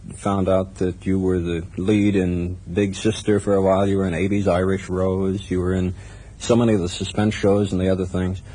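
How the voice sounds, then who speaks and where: A man's voice: sits low, flat delivery, moderate pace, warm tone. An American man interviewing a guest for radio in a conversational style. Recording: noisy 1970s radio tape.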